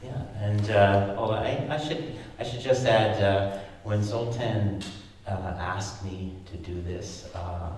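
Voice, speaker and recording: A man in his sixties with a very low voice speaks haltingly, full of fillers and restarts. He is picked up from far off in a big, echoey concert hall. Faint noise sits underneath. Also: darkish tone. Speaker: flute player. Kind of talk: spoken remarks at a concert.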